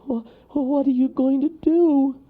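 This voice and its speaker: A man's voice: high-pitched, animated, normal speed, vocal fry, phone-quality sound, pitched above the performer's own voice, heavily acted. A man voicing a small, anxious male woodland animal who speaks courteously and nervously in a children's story. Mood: frightened, pleading.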